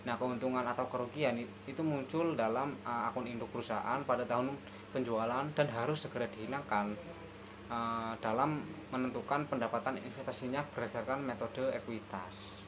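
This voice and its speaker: A man's voice: mid-range voice, fairly even intonation, normal speed, background noise. A young man giving a student lesson presentation, hesitant and often stumbling over his words. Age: young adult.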